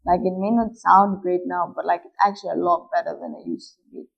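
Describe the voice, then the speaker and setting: A woman's voice: lowish voice, steady tone, quick pace, creaky. Young woman, late teens, from Malawi, shy, hesitant, fillers and restarts, dull lo-fi sound. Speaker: footballer guest. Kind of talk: casual interview answers. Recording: dull lo-fi recording.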